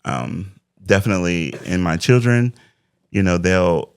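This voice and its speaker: Forties male life coach, very low gravelly voice, dry close sound, thoughtful and faith-driven. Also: unhurried, no echo. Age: 40s. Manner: reflective, faith-driven talk. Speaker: interview guest, life coach. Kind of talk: casual interview conversation.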